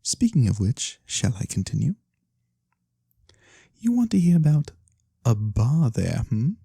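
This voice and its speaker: Soft, husky, mysterious fantasy lorekeeper, played by an ASMR performer. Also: mid-range voice, big pitch swings, dry recording, studio quality, exaggerated voice. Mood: knowing, sly. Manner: theatrical, coy, deliberate pauses. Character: sly mysterious nonhuman lorekeeper, fortune-telling storyteller for coin. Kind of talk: soft-spoken ASMR fantasy roleplay, storytelling.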